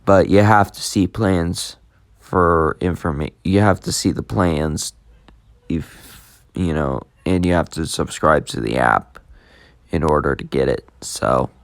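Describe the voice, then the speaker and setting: Slow-talking man with a deep voice. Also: no room sound. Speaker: YouTube host demonstrating an app. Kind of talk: casual unscripted tutorial commentary.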